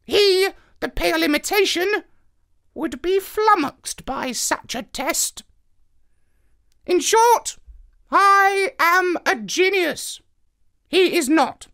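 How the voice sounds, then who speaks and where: A man's voice: very high voice, animated, takes it slowly, gravelly, pauses often, bright, forceful tone, pitched above the performer's own voice, heavily acted. A man voicing a haughty, megalomaniac parrot in a squawky screech for a children's story. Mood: boastful lecturing. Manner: haughty, orating, self-important. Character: pompous megalomaniac male parrot, screechy and imperious.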